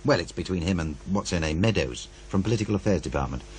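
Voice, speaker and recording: A male radio producer in an audio drama, wry and needling. He muses and insinuates, with a lively, swinging intonation. A faint hum sits behind the voice. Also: deep voice, fast talker. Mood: wry, cautioning.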